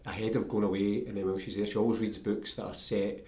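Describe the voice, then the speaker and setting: A man's voice: on the low side, flat delivery, fast, warm tone. A man with a Scottish accent hosting a podcast, interviewing a guest in a casual, conversational style.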